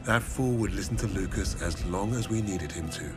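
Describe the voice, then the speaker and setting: An actor playing a wry, worldly soldier narrating a war game's cutscene. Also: male voice, low voice, moderate pace, over music, close to an everyday voice.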